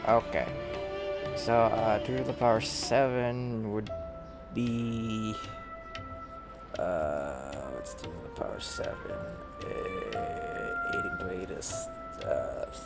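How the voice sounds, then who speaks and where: A man giving casual gameplay commentary, muttering and thinking aloud in a halting way. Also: fairly low pitch, vocal fry. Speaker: gamer. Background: game music, keyboard typing.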